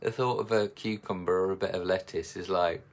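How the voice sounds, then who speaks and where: A man's voice: low voice, fairly even intonation, fairly quick. A man and slimming coach talking live to his viewers in a chatty, encouraging way. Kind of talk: live social media talk.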